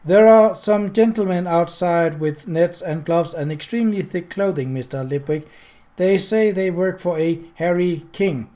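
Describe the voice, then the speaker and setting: A man voicing a patient, literal golem who speaks slowly and evenly. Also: sits high, warm tone. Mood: calm. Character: patient hulking golem, male, calm and literal.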